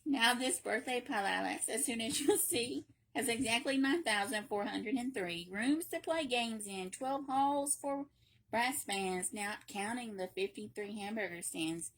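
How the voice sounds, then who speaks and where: A woman reading a children's picture book aloud in a playful, expressive way, with emphatic repetition and chatty asides. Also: fairly high pitch, unhurried, low-quality recording. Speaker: library read-aloud reader.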